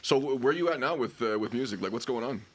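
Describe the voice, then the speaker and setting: A man's voice: mid-range voice, animated, fast. A man hosting a casual interview, laid-back and joking as he asks questions. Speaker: podcast host.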